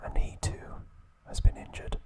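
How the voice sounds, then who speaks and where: A man whispering an ASMR recap of trivia, pausing now and then to correct himself. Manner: slow soft whisper, occasional self-corrections. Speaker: ASMR host.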